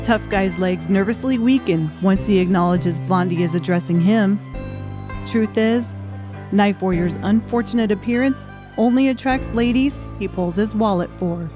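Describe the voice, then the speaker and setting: A woman's voice: mid-range voice, unhurried. A woman reading scripted audiobook narration in the present tense, telling a story. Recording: music under the voice. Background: instrumental music.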